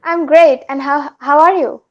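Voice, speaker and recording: Woman chatting eagerly, high voice, kind of muffled lo-fi audio. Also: fairly even intonation, a little slow. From India. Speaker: English learner. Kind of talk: casual conversation practice.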